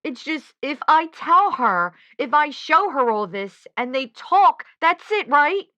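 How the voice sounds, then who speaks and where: A woman's voice: high-pitched, very animated, takes it slowly, sharp tone, dry recording, lo-fi sound, full character voice. A woman playing an earnest, lovelorn and anxious caller in a radio drama, speaking hesitantly and with open feeling. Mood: distressed, pleading. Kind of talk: scripted audio drama.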